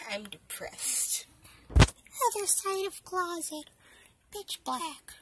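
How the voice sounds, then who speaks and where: A young girl with a high-pitched voice giggles and rambles, often half-whispering, as she films a casual vlog on her phone in a small dark closet. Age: young, a minor. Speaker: vlogger filming her gaming corner. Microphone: handheld phone camera. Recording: noisy phone recording with clicks.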